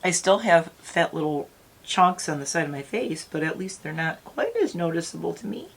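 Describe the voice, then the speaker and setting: A middle-aged woman with a slightly raspy morning voice, chatting and rambling to her viewers while doing her makeup. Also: on the low side, very animated, moderate pace. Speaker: beauty vlogger. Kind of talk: casual makeup tutorial chat.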